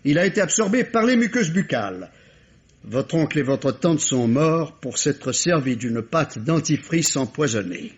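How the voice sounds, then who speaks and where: A man's voice: on the high side, sing-song, measured pace. A man acting a shrewd, insistent police inspector in a vintage radio mystery, speaking formally and precisely. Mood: explanatory. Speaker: radio drama actor. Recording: dull, lo-fi old radio recording.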